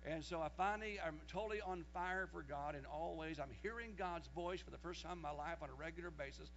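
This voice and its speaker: A man in his sixties preaching a sermon, reading scripture aloud and rising loud when he quotes it. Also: fairly high pitch, brisk. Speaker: pastor. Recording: dull lo-fi sermon recording with mains hum.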